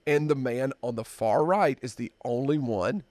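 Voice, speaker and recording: A man in his fifties hosting a podcast, telling a story in a halting, drawn-out way with many fillers, at a desk mic in a dark studio. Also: mid-range voice, dry recording. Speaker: podcast host. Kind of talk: casual storytelling chat.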